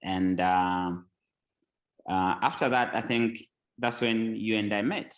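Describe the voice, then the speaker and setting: Low-voiced Zambian man telling his story. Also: slow. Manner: relaxed, rambling storytelling with many fillers and restarts. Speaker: guest, tech company founder. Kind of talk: casual podcast interview.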